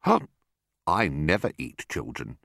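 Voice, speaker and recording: A man's voice: rumbling low, huge pitch swings, measured pace, gravelly, fairly bright tone, dry recording, studio quality, exaggerated voice. A man voicing a smaller, fussy crocodile in a storybook, indignant, scornful and disapproving. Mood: dismissive. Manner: indignant, disdainful, contradicting.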